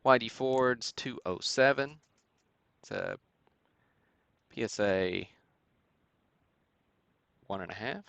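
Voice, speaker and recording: A man hosting a live stream, giving matter-of-fact commentary in a halting way with pauses. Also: on the low side, wildly swooping pitch, creaky, a bit bright, no room sound. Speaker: host of a sports card break stream. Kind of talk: live show-and-tell commentary on vintage cards.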